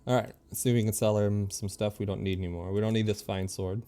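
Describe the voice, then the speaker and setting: A man streaming a video game, thinking aloud in easygoing casual chat and reading game text out loud. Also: fairly low pitch, fairly even intonation, quick pace, clear, resonant tone.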